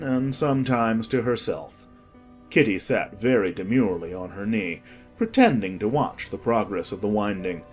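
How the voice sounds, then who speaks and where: A man narrating a children's audiobook in an expressive, measured storytelling manner. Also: lowish voice.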